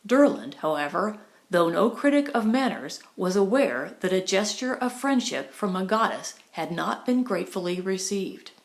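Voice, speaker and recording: A woman's voice: mid-range voice, expressive, moderate pace. A woman reading a novel as an audiobook narrator, in a scripted storytelling style. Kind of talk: scripted narration of a 1920s romantic comedy novel.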